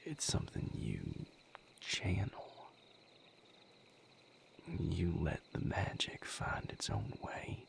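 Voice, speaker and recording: A man playing a gentle, wry vampire healer in an intimate audio roleplay, speaking to the listener in halting, reflective phrases with a breathy, gravelly near-whisper. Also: low-pitched, huge pitch swings, slight background noise, exaggerated voice. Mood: patient, thoughtful. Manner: halting, reflective, casually profane. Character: male vampire healer, gentle, wry, weary but content lover.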